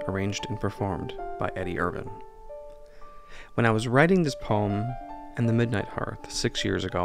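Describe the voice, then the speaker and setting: Lowish-voiced man with a gravelly edge. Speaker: podcast host and writer. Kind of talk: scripted reflective monologue.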